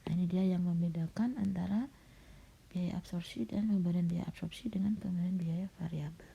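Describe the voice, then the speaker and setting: A middle-aged woman lecturer, slightly hoarse and coughing, patiently walking students through a recorded slide lecture with frequent fillers. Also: fairly low pitch, steady tone, a little fast, warm tone. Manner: patient, explanatory, with frequent "nah" and "ya". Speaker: university lecturer. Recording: dull lo-fi recording.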